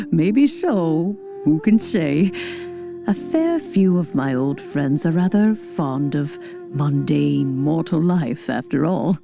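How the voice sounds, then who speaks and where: A woman playing an elderly, kindly immortal in a video game, speaking in formal, old-fashioned phrases with gentle authority. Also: deep voice, big pitch swings, takes it slowly, vocal fry, music in the background, exaggerated voice. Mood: amused, genial. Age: older. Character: elderly kindly female adeptus, nostalgic and gently commanding. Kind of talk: scripted video game acting.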